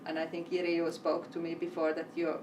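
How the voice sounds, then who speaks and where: A woman's voice: on the low side, completely flat delivery, brisk, noisy background. A woman hosting a live event panel, asking long, winding questions. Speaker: interviewer and event host. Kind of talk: panel interview. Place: event venue. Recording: distant mic with faint ambience.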